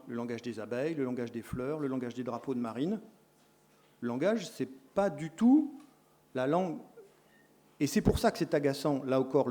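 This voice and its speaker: A middle-aged man lectures a room of teacher tutors. His voice is warm and mellow, sitting at a middle pitch, and he leans hard on key words before dropping into quick corrections and asides. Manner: explanatory, lively emphasis, self-correcting asides. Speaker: lecturer in education sciences.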